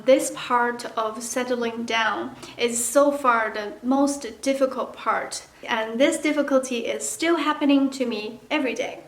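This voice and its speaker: A woman from China with a Chinese accent, native speaker of Mandarin, chatting candidly to camera as a language-learning vlogger. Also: fairly high pitch. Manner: chatty and candid, acts out playful asides. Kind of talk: casual talk to camera. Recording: echoey room.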